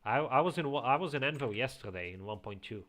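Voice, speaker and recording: A man with a slight Eastern European accent, chatting casually as a streamer, reading chat aloud and chuckling often into a quiet home microphone. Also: medium pitch, expressive, fast talker. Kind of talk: casual live-stream chat while playing.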